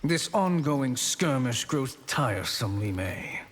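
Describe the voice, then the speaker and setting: An actor playing an arrogant, scheming sorcerer in a video game, with a haughty, dismissive manner. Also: male voice, medium pitch, big pitch swings, slow. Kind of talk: video game villain acting. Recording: reverberant hall with faint noise.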